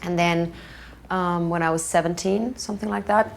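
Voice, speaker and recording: A woman's voice: lowish voice, moderate pace, creaky, slight background noise. A young woman from Serbia, co-founder of a fashion label, talking casually and hesitantly with many fillers. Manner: relaxed, hesitant with frequent fillers. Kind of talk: casual conversational interview.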